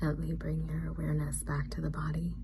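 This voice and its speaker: Low, creaky-voiced woman guiding meditation. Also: completely flat delivery. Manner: soothing, unhurried phrasing. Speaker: meditation guide. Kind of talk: guided meditation, gentle instruction. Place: studio on a major road. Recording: clear background noise with ambient sound.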